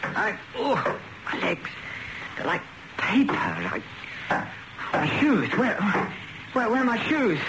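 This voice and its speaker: A man's voice: high-pitched, sing-song, takes it slowly, long pauses, heavily acted. An actor playing an anxious, groggy man in a radio drama, stammering and hesitant as he waits for a friend. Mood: groggy, confused. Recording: noisy radio drama recording.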